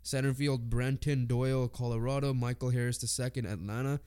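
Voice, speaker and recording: A man's voice: medium pitch, steady tone, brisk, mellow, clear, resonant tone. A man co-hosting a sports podcast with a congested voice, chatting informally and swearing casually. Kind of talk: casual sports chat, reading lists and predictions.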